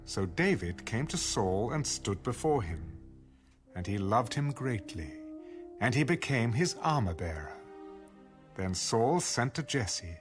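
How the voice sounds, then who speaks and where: Lively-toned man narrating scripture. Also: mid-range voice, slow, music in the background. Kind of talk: scripted audio Bible narration.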